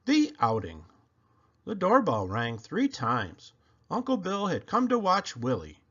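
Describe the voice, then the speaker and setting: A man reading a children's book aloud with expressive, emphatic delivery. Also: fairly high pitch, unhurried, slightly rough, dull audio. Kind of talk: animated storybook read-aloud narration.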